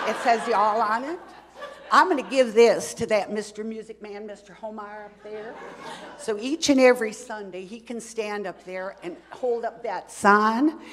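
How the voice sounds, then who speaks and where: A woman's voice: medium pitch, a bit bright, slight background noise, close to an everyday voice. A woman playing a chatty, teasing church lady in a comedy skit, speaking with a put-on drawl in a church. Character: folksy Texan church lady, gift-giving and teasing. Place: church sanctuary. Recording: reverberant church hall.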